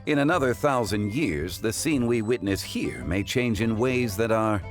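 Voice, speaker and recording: A lowish, expressive male voice, formal and unhurried in its phrasing: a man playing an ancient, calm, learned gentleman. Soft game music plays beneath. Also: lightly acted. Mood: composed. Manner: measured, formal, philosophical.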